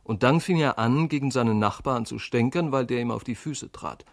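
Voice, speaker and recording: A man's voice: mid-range voice, moderate pace, creaky. A man performing a literary monologue as the narrator of a radio play.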